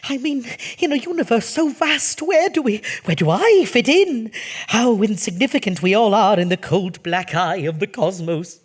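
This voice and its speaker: A woman playing a terrified, fanatical devotee of dark gods in a theatrical, grandiose horror-comedy radio drama. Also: medium pitch, animated, moderate pace, husky. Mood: panicked despair.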